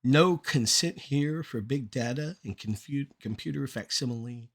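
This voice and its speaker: A middle-aged man performing rhymed spoken-word poetry in a measured, deliberate delivery with short phrases. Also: mid-range voice.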